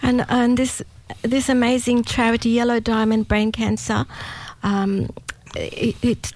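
A woman's voice: fairly high pitch, flat delivery, unhurried, rough recording. Bubbly woman radio host. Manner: warm, switches between Italian and English, laughs often. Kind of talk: bilingual community radio chat.

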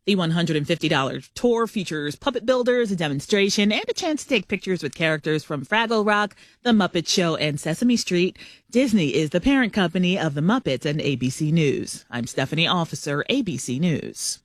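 A woman anchoring a scripted radio newscast with a crisp, brisk delivery. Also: fairly low pitch, expressive, dry recording, studio quality. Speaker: news anchor.

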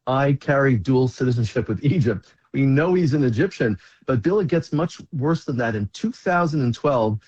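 A man's voice: mid-range voice, animated, normal speed, hardly any pauses, a little mellow, breathy edge, dull audio. A man, a rabbi, speaking as a guest on a talk radio show in an urgent, emphatic way.

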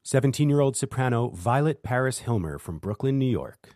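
A man hosting a classical music radio show and playing piano, speaking in a measured, encouraging way. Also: mid-range voice, lively intonation, a little mellow, no room sound. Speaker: radio show host and pianist. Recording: dry studio sound.

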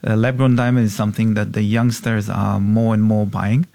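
Gravelly low-voiced man from Israel. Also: flat delivery, mellow, dry recording. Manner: halting, simple phrasing with fillers. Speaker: diamond company co-founder. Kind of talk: interview answers.

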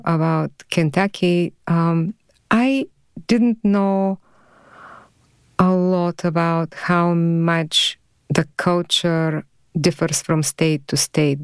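A woman in her fifties from Bulgaria, speaking with a Bulgarian accent, answers interview questions in a measured, thoughtful way. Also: sits low, a little mellow, clean tone, dry recording. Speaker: radio guest.

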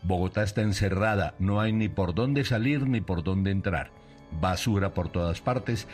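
A Colombian man with a fairly low voice delivers a scripted satirical monologue as a radio commentator. Music plays softly behind him.

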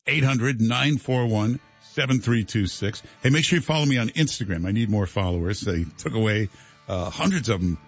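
A man's voice: fairly low pitch, animated, moderate pace, muffled recording, soft background music. A male radio host, teasing his co-host in talk show banter. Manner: brusque teasing.

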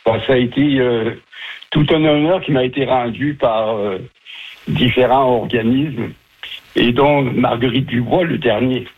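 A husky-voiced man in his seventies, from southern France, speaks over a muffled phone line. He is a retired chef giving casual, chatty interview answers in colloquial language, telling stories as he goes. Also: mid-range voice, a little slow. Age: 70s. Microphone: telephone.

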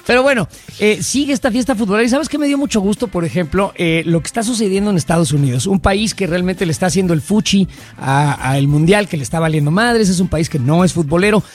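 High-voiced, blunt Mexican man. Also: expressive, fast. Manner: blunt, heated, swears freely. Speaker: show host. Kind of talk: casual chat about football and politics.

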